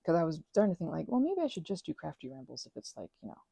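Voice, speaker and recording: Husky-voiced woman rambling while crafting. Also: deep voice, sing-song, very fast, warm tone, no echo. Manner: rambling, chatty, many fillers and restarts. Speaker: ASMR and audio creator.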